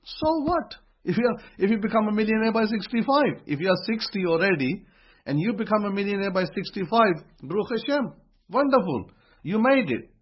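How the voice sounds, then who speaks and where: Male teacher, husky and a bit high-pitched, repetitive and emphatic, dull lo-fi sound. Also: lively intonation, normal speed. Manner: emphatic, repeats phrases while building a point. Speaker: religious teacher. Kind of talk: motivational religious talk. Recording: dull lo-fi recording.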